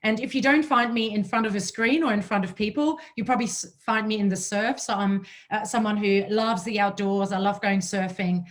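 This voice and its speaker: A woman's voice: mid-range voice, a little fast, few pauses. German-accented woman presenting a webinar. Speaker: leadership coach, webinar presenter.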